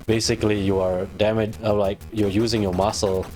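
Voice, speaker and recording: Indonesian-accented man, low voice, halting explainer, music behind. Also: level tone, darkish tone. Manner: hesitant, many fillers and restarts, small laughs. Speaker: scientist guest. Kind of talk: casual explaining on a livestream.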